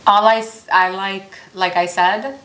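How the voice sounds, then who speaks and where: A woman's voice: medium pitch, steady tone, measured pace, fairly bright tone. Giggly, frank young woman guest. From United States. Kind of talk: casual interview chat. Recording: echoey room, faint noise.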